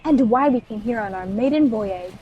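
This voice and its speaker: A woman's voice: a little high, normal speed, husky, narrow, tinny audio. A woman playing an admiring, authoritative space officer in a radio play. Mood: earnest.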